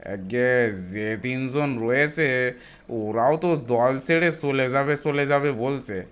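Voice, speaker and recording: A country bandit sidekick, played by a man with a medium-pitched voice. He speaks in a homely, hesitant way for a cartoon horror tale, with music underneath. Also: slow pace, clear, resonant tone, close to an everyday voice. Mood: worried, deferential. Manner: hesitant, rustic colloquial speech. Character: anxious, deferential rustic bandit henchman, loyal to boss. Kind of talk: animated horror story dialogue. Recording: music bed behind voice.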